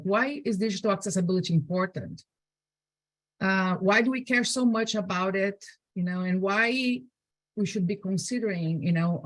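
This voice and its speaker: A woman's voice: on the low side, no echo, low-quality recording. A woman with a Brazilian accent, a native Portuguese speaker, giving an informal online presentation in a conversational way, often adding asides and rhetorical questions. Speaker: presenter, digital accessibility specialist. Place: indoors, on a video call. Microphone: video call.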